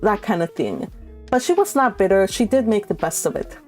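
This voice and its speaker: A woman hosting a book read-along, talking casually and haltingly, and reading aloud from a classic novel. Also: medium pitch, sing-song, a little mellow, faint music behind.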